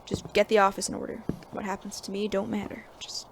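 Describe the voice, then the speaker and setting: A woman playing a weary, gruff young male sheriff in a Western audio drama, with a folksy, sardonic drawl. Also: mid-range voice, little pitch movement, brisk, a little hiss or hum, close to an everyday voice. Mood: resigned. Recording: loud guitar music behind.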